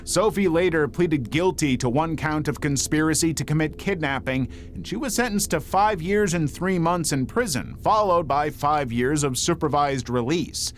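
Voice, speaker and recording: A man delivers scripted documentary narration about crime in a dramatic, storytelling manner. His voice sits a little high and moves with lively intonation. Background music runs underneath. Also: moderate pace, few pauses.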